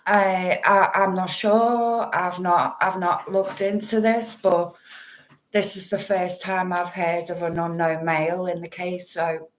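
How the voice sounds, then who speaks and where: Slow, low-voiced woman chatting casually. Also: steady tone, rough recording. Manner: rambling and apologetic, fiddling with her setup. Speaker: true crime channel host. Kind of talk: casual livestream chat.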